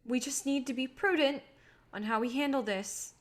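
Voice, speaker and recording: A woman's voice: sits high, moderate pace, crowd noise, lightly acted. A woman in a staged play reading, playing an exasperated but level-headed film colleague who is reproving and insistent. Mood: firm, reproving.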